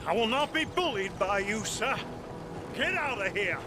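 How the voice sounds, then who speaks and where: An actor playing an indignant, pompous, wealthy middle-aged gentleman in a video game, shrill and outraged. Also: animated, normal speed, music in the background. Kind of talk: video game cutscene acting. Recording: loud carriage and ambient noise behind.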